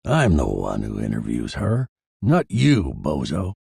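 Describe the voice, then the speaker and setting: A man voicing an irked, tough police detective with a gruff, weary manner in a noir crime story. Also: extremely low pitch, huge pitch swings, a little slow, darkish tone, no echo, clean studio sound, voice pushed down, heavily acted. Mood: irritated.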